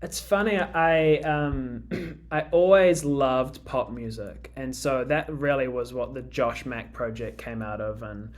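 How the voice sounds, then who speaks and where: A young New Zealand man with a Kiwi accent talks casually as a guest on a radio interview. His pitch sits in an ordinary middle range, and he hesitates a lot, with frequent ums and restarts as he thinks aloud. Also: steady tone, a little slow. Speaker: musician guest.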